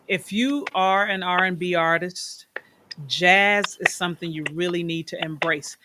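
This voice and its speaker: Chatty middle-aged woman hosting over noise. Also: on the low side. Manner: chatty and enthusiastic, stretches words for emphasis. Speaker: host. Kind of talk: casual interview chat.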